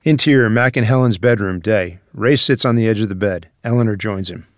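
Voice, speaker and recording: Low-pitched man narrating a script. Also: fairly quick, no echo, clean studio sound. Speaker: narrator reading stage directions.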